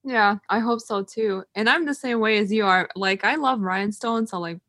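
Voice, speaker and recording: A woman's voice: mid-range voice, normal speed, fairly bright tone, no echo. Friendly woman interviewer, dry sound. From Ukraine. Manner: friendly, agreeing. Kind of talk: casual interview chat.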